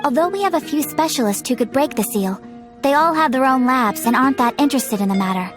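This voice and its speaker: Polite guild receptionist, high voice, formal n measured, helpful, video game w music behind. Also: female voice, lively intonation. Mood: composed, helpful.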